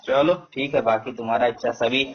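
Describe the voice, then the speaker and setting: A man's voice: mid-range voice, fairly even intonation, fairly quick, soft background music. Man disputing on a muffled phone call. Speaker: academy coach on a phone call. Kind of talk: argumentative phone conversation.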